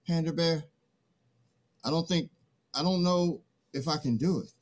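A man's voice: on the high side, measured pace, lightly acted. A man acting a naive, timid young man in a theatrical play reading, hesitant and bewildered.

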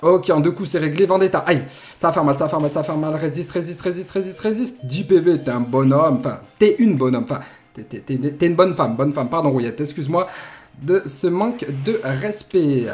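Young male streamer, lively pitch, jokey, a bit congested. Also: fairly quick, faint music behind. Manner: playful, jokey, animated. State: has a cold. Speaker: Let's Play host. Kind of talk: casual gameplay commentary. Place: indoor room at desk.

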